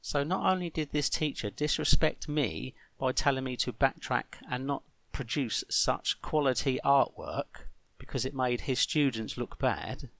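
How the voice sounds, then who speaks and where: A middle-aged man hosting a vlog monologue, speaking in blunt, grumbling, deliberate phrases. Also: medium pitch, creaky, a bit bright, no echo. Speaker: host of an online video channel.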